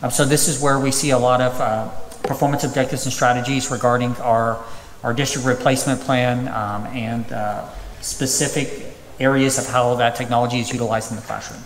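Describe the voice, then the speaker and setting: A man's voice: mid-range voice, level tone, a little fast, slight background noise. Man with many uhs in an echoey auditorium. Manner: reads through items with many ums and uhs. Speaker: school district administrator. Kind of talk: presenting at a public hearing. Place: large empty auditorium. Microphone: podium microphone. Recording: echoey auditorium, distant reverb.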